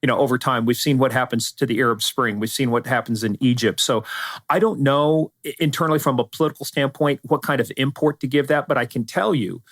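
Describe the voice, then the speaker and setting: A man's voice: medium pitch, a little fast, dry recording, clean studio sound. Middle-aged American man, emphatic security expert. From United States, spent part of childhood in Iran. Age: middle-aged or older. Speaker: security expert guest, former law enforcement advisor. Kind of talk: conversational interview answers.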